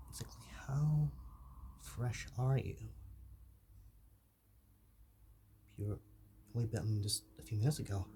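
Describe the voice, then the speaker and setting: A man playing a shy, soft-natured zombie in an ASMR roleplay, speaking softly with stammers and apologetic hesitation. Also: medium pitch, a little hiss or hum. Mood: shy, awkward. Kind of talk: whispered ASMR roleplay addressed to the listener.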